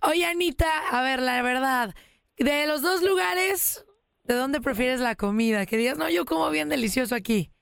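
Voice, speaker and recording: A woman's voice: high-pitched, moderate pace, gravelly, fairly bright tone, no room sound. A woman co-hosting a radio show in a casual, chatty style.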